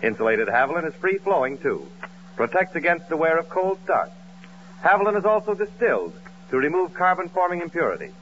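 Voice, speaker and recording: A dull, faintly hissy 1940s radio recording of an American man announcing. His voice is bright and fairly high, with the scripted delivery of a sponsor pitch. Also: sing-song, fairly quick. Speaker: announcer and comic sidekick. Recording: muffled, noisy 1940s radio broadcast.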